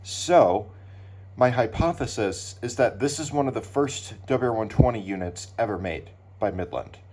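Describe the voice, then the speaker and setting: A man giving casual, unscripted commentary as a hobbyist doing an unboxing, speaking haltingly with pauses. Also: mid-range voice, expressive, a little mellow. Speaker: hobbyist unboxing weather radios. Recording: clear background noise, handling clicks.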